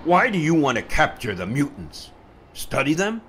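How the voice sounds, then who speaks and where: A man's voice: fairly high pitch, huge pitch swings, normal speed, a bit bright, slight background noise, heavily acted. A man playing a cornered older scientist. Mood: desperate. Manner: pleading and agitated. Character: desperate older male scientist, defiant but cornered. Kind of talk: audio drama acting.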